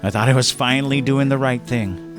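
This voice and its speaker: A man playing a gruff but loyal old fishing buddy in an audio drama, with blunt, folksy delivery. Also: mid-range voice, fairly quick, music in the background, natural voice. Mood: regretful.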